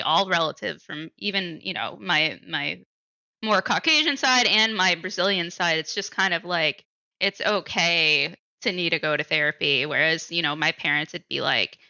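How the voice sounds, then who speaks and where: A woman in her twenties talks candidly as an interview guest in an informal, conversational way. Her voice is bright and forward, though the dry recording sounds a little dull and lo-fi. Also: fairly low pitch, no echo. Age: 20s. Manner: informal, peppered with "like" and "you know". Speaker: interview guest, PhD student. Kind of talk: candid personal interview answers.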